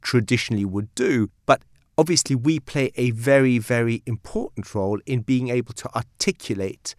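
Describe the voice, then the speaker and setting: A Belgian-born man whose first language is Dutch, speaking as a guest lawyer in a studio interview and explaining things in a measured, emphatic way. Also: mid-range voice, dry recording. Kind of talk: articulate interview answers.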